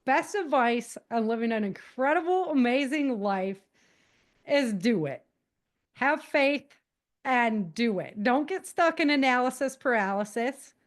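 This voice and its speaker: A woman's voice: a little high, lively intonation, measured pace. American woman, earnest and informal. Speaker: podcast guest, author and self-defense teacher. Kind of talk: conversational interview answers.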